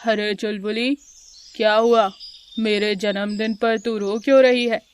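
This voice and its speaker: A woman voicing a stern, commanding lion king who is quick to anger, in a children's cartoon. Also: medium pitch, little pitch movement, slight background noise, natural voice. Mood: concerned.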